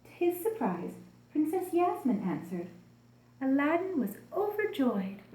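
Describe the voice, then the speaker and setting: Female children's storyteller, soft warm tone, expressive deliberate reading, echoey room sound. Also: high voice. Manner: expressive, deliberate storytelling addressed to young listeners.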